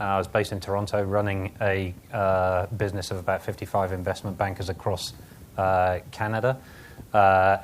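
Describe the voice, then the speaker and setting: An English man introducing himself as a panelist, measured and matter-of-fact, with filler pauses. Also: low-pitched, slight background noise. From England. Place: conference hall.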